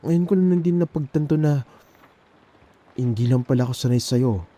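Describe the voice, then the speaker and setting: A young man with a Filipino accent performing an intimate ASMR roleplay as an emotional best friend, speaking colloquially and haltingly, with pauses. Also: mid-range voice, warm tone.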